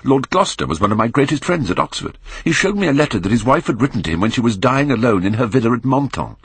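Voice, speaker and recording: A man voicing an earnest, anguished Victorian painter who pleads and moralises gravely in an audiobook. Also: medium pitch, big pitch swings, quick pace, no room sound, voice pushed up, heavily acted. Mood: urgent, distressed.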